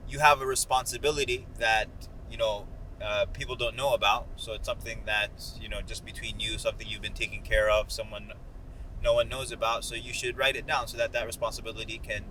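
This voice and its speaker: Male car-vlog host, bright voice, casual and slangy explainer, faint road noise. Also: fairly low pitch, little pitch movement, a little fast, poor audio. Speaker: host of a ride-along video. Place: inside a moving car. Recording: clear car and outdoor noise.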